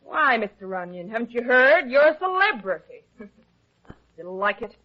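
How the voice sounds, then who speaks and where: High-voiced, brazen femme fatale. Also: female voice, big pitch swings, moderate pace. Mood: taunting, flirtatious. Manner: taunting, coy. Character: brazen, flirtatious armed female villain, coolly threatening. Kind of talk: old-time radio detective drama acting. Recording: dull, lo-fi old radio recording.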